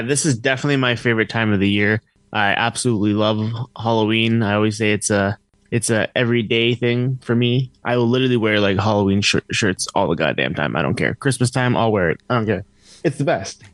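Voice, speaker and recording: A man's voice: lowish voice, fairly quick, a bit bright, dry recording. Easygoing male co-host, blunt and profane, dry close recording. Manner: laid-back, blunt, swears casually. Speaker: podcast co-host. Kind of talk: casual podcast chat.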